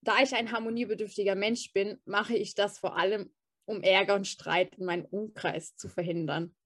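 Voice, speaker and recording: A woman's voice: mid-range voice, fairly even intonation, normal speed, fairly bright tone, no echo, studio quality. Woman reading a podcast intro. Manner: reads prepared text evenly. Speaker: student podcast co-host. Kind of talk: scripted narration.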